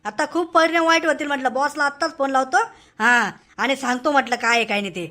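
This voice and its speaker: A man acts out a cartoon tough guy in a comedy, with a very high-pitched voice. He is taunting and emphatic, hammering phrases by saying them again. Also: fairly even intonation, fast talker, bright, forceful tone. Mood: angry, threatening. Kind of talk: Marathi comedy cartoon dialogue.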